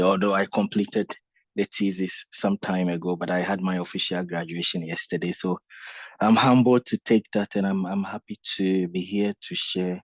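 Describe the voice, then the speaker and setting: Very low-voiced man from Ghana. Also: no room sound, lo-fi sound. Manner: earnest, humble, with many fillers and restarts. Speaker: guest speaker. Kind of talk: conference presentation.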